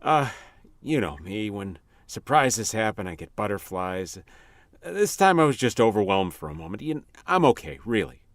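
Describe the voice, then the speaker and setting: A man playing a nervous, guilt-haunted attorney in his late thirties in a radio drama, with halting delivery. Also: fairly low pitch, big pitch swings, no room sound, clean studio sound. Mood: nervous, evasive.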